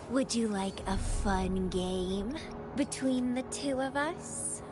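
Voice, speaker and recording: A woman's voice: fairly high pitch, extremely slow. A woman voicing a playful, teasing and slightly sinister young female game character, in dramatic game style. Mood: playful menace. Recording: loud game music underneath. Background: loud music.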